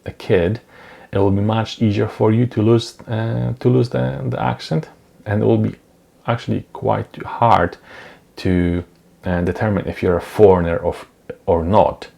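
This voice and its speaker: A man in his thirties who speaks English as a second language, talking casually to camera in a home studio on a lapel mic, unhurried and with many ums and uhs. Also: lowish voice, flat delivery, vocal fry, mellow. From Europe. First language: not English. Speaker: vlogger. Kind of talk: casual talking-head vlog. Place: small dim home studio, indoors. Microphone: clip-on lapel mic.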